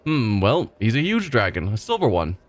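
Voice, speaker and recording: A man's voice: fairly low pitch, wildly swooping pitch, normal speed. A man voicing a cheerful, simple-minded male village fisherman who rambles and repeats himself proudly. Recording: faint game music behind.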